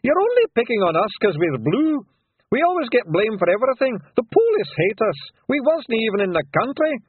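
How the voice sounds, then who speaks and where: A man doing a loud, brash little Scottish fairy warrior. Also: sits high, sing-song, moderate pace, slightly rough, dry recording, phone-quality sound, pitched above the performer's own voice, heavily acted. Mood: protesting. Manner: shouting, brash, defiant.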